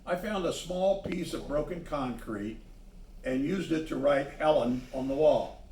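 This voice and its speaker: A man reading a children's story aloud, pausing to add simple asides about the pictures. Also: fairly high pitch, a little mellow, a little hiss or hum. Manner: pauses to point out the pictures. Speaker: storybook reader. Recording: echoey room, distant microphone.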